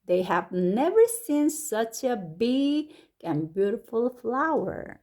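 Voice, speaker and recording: This is a woman with a Korean accent reading a children's story in English. She goes at a very unhurried pace and stretches out each word. Her slightly high voice swoops up eagerly whenever something exciting happens. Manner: slow and deliberate, stretching words, with lively rises on exclamations. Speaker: English picture-book reader and teacher. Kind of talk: slow, expressive storybook read-aloud.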